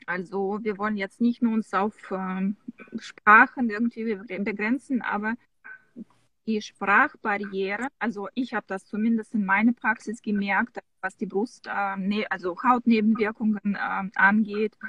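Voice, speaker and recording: A woman's voice: medium pitch, fairly even intonation, unhurried, a bit bright, no room sound, highs cut off. Woman, interviewer, hesitant, word-searching, dry close sound. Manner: hesitant, searching for words with restarts. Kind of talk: casual interview over video call.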